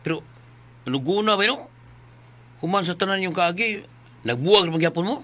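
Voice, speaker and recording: A man's voice: high-pitched, expressive, normal speed, sharp tone. A man acting a nosy, blunt young buddy in a radio drama, with a Cebuano Filipino accent. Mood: teasing, curious.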